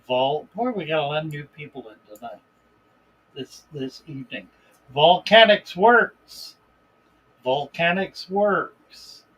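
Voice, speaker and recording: A man hosting a live stream in a relaxed, unhurried way, greeting viewers by name and reading their comments aloud. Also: fairly high pitch, slightly rough, highs cut off. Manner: relaxed, unhurried, chuckles between remarks. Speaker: livestream host.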